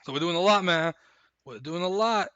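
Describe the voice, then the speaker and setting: A man hosting a live stream, chatting casually and recapping fights in a rambling, hyped way while his voice goes hoarse. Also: high-pitched, moderate pace, sharp tone, no echo, highs cut off. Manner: rambling, hyped, repeats himself. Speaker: stream host.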